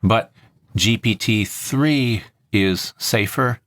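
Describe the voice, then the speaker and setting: A man with a low voice talks as a podcast host. His delivery is casual and explanatory, working through things methodically. The sound is dry, with very little room to it. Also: very slow, a bit bright, no room sound.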